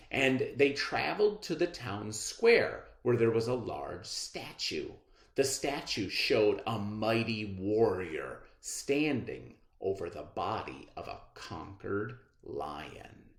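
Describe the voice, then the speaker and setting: A man leading a read-aloud lesson for children. His delivery is unhurried and extremely expressive, with rhetorical questions to keep listeners thinking. The room adds a clear echo. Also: lowish voice. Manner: expressive, instructive, asks rhetorical questions with check-ins. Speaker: elementary school teacher. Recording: echoey room sound.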